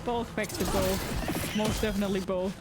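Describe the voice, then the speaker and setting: A woman's voice: steady tone, moderate pace. A woman streaming a game, chatting casually with her viewers, asking them questions and reading game text aloud. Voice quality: says her voice is fairly deep. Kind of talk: casual gaming chat with viewers. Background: game music.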